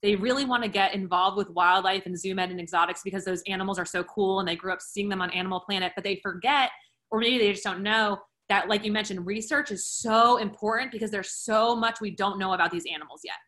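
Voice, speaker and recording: A woman's voice: medium pitch, fast talker, pushed and bright. A woman hosting a podcast panel in a conversational style, putting questions to her guests. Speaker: podcast host moderating a student panel.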